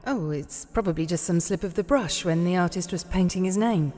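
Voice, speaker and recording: Female curator, played by an actress, radio play: deferential, helpful, halting, warm dark timbre, reverberant space. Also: on the low side, close to an everyday voice. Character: polite, hesitant, helpful woman museum curator. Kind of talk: audio drama acting. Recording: echoing hall reverb.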